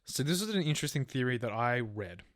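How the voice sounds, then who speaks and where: A man's voice: medium pitch, very animated, fast talker, fairly bright tone, dry recording, studio quality. A man co-hosting a true crime podcast, telling a case from notes in casual language, stumbling and correcting himself. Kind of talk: semi-scripted case narration with casual chat.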